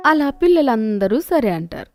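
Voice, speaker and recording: Woman narrating over clear background music. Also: on the high side, very animated, moderate pace, a little mellow. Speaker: storyteller, narrator. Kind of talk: scripted children's story narration.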